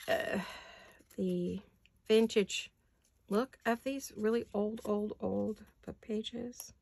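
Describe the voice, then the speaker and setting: An older woman with a raspy voice chatting casually in her home craft studio, rambling and thinking aloud as she works. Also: medium pitch. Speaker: craft vlogger. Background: neighborhood garbage-day banging.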